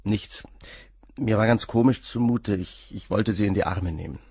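An actor playing a nervous young male murder suspect in a radio play, giving short, defensive answers in a husky voice. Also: fairly low pitch, normal speed, darkish tone, no echo, clean studio sound.

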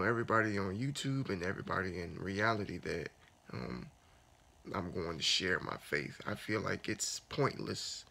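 A man in his thirties lying in bed in a dark bedroom, talking softly and earnestly into a phone held close in a raspy morning voice, then praying aloud. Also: fairly low pitch, a little hiss or hum. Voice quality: gravelly. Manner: soft, unhurried, earnest with many restarts. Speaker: fitness vlogger. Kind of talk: casual morning talk and spoken prayer. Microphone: phone camera held close.

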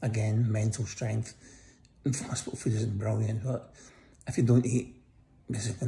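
Croaky, gravelly man in a hospital bed. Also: lowish voice, mellow. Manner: lying in bed, tired, talking slowly with many pauses. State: croaky voice after breathing tubes. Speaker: vlogger giving a hospital update. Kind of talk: casual personal video update. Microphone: phone held close above face.

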